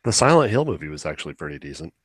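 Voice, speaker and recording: A man co-hosting a video game show, chatting casually in a halting way with joking exaggeration. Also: extremely low pitch, sing-song, breathy edge, no echo. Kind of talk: casual chat.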